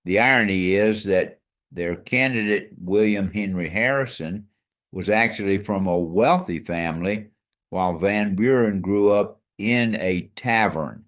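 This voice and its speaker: A man's voice: fairly low pitch, measured pace, a bit bright. A man co-hosting a scripted history podcast, explaining facts in an emphatic, storytelling way. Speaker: co-host.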